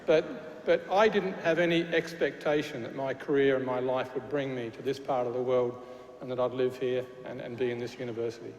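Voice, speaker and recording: A man's voice: mid-range voice, steady tone, a little fast, highs cut off. A Scottish man with a Glasgow accent addresses graduates from a lectern, in a chatty, informal way, stumbling and restarting as he goes. His voice rings around a large church with a long, echoing reverb. A faint hiss sits under the recording. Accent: Glaswegian Scottish. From Scotland. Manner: chatty with frequent restarts and fillers, jokey asides. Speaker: university official addressing graduates. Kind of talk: ceremony speech. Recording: echoey hall with faint noise.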